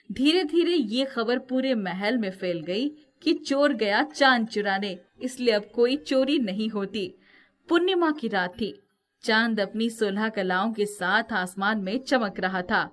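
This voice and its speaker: Female storyteller, slightly high voice, for scripted narration of a folk tale. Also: animated, measured pace.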